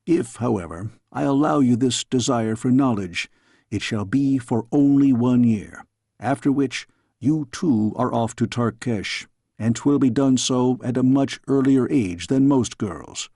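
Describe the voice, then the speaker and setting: Guy doing an old-fashioned storybook king dad, solemn and tender, measured, creaky husky voice. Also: medium pitch, mellow, dry recording, clean studio sound. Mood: stern. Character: fatherly middle-aged storybook king, grave yet tender.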